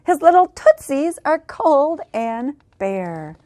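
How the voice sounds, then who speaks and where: A woman's voice: sits high, sing-song, slow, warm tone, no echo, voice pushed up, full character voice. A woman voicing a coaxing, pleading male mayor in a picture-book story.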